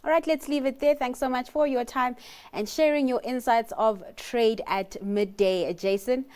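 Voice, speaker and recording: A woman news anchor presenting business television with brisk, scripted segues. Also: a little high, steady tone, darkish tone, clear, resonant tone.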